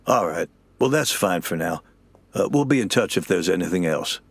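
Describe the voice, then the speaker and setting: A courteous police officer in an audio drama, with a very deep voice. He speaks in a hesitant, by-the-book way in a dry recording. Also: expressive, normal speed, gravelly, no room sound, heavily acted. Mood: polite, official. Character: polite, procedural male police officer. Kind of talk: naturalistic audio drama acting.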